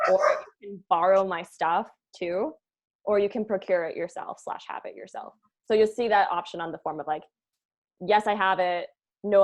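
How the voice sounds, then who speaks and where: A woman leading a cast meeting in casual, rambling talk with an informal, jokey manner. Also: lowish voice. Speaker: show creator leading a cast table-read meeting. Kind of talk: casual chat.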